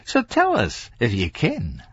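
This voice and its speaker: A man's voice: mid-range voice, wildly swooping pitch, measured pace, husky, dry recording, highs cut off, voice pushed up, full character voice. A man voicing a mischievous, leering Scottish drinker in an audiobook, conspiratorial and wheedling. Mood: teasing, mischievous.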